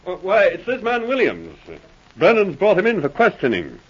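An actor playing a plain-spoken, bemused town marshal with a folksy manner, in 1940s radio drama style. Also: male voice, high-pitched, wildly swooping pitch, normal speed, slightly rough, full character voice. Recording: muffled old radio recording.

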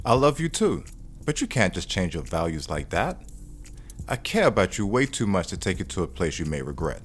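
Brash young playboy with animated pitch. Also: male voice, normal speed, a little hiss or hum. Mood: flustered, protective. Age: young adult. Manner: brash, slangy, swearing. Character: young single playboy boyfriend, cocky yet caring. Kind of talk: scripted audio drama acting and first-person narration. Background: background music.

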